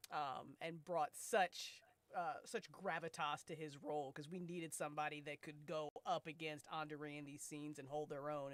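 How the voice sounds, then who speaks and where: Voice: mid-range voice, a bit bright. A podcast host chatting casually and off the cuff, effusive and emphatic, with plenty of swearing. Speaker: host and producer of a fiction podcast. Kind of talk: casual, unscripted chat.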